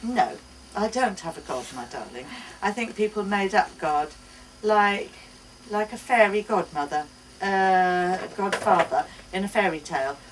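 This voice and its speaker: Woman reading as earnest, halting mother, distant mic. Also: medium pitch, lively intonation, close to an everyday voice. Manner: halting, emphatic, searching for words. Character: earnest, affectionate mother explaining to her small daughter. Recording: distant mic, noisy live bookshop event.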